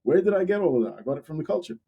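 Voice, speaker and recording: A young man co-hosting a podcast, talking in a relaxed, candid way and repeating words while he thinks. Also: high voice, rapid-fire, vocal fry, slightly rough, highs cut off. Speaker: podcast co-host. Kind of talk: casual podcast discussion.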